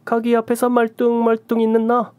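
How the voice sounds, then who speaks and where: A woman's voice: a little high, monotone, a little fast, darkish tone, dry recording, voice pushed up, close to an everyday voice. A woman voicing a brash young female shaman who scolds in coarse, old-fashioned talk, in a dry recording. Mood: scolding. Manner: scornful, old-fashioned rough talk, drawn out.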